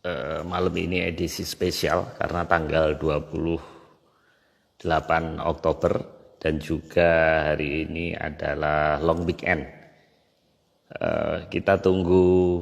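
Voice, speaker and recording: A man's voice: flat delivery, slow, gravelly. A man hosting a casual live online chat. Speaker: host of a livestream series. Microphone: online video call. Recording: muffled low-fi audio with long reverb.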